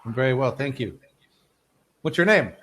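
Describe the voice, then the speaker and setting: An American man tutoring English over a video call, with a relaxed, friendly manner. His voice sits at an ordinary middle pitch, and he rephrases his points in simpler words. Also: moderate pace. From United States. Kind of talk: casual online tutoring chat.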